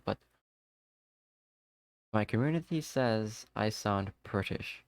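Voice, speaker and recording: Chatty male streamer, smooth dry voice. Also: fairly low pitch, no echo, rough recording. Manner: chatty, talks to himself and to chat viewers. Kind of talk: casual live-stream chat while gaming.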